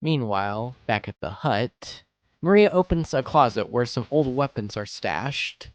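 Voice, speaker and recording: A man's voice: mid-range voice, animated, measured pace, no echo. A man reading scripted scene narration for an amateur audio drama.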